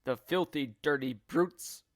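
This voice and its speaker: A man's voice: a little high, slow, no echo, studio quality. A man voicing a grumpy, nasty husband from a children's storybook, blustering and indignant.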